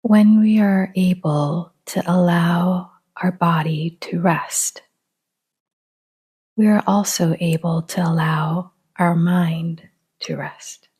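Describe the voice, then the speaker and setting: A woman guiding a relaxation meditation in a soft, half-whispered, breathy voice, calm and gentle, with long pauses. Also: on the low side. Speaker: meditation guide.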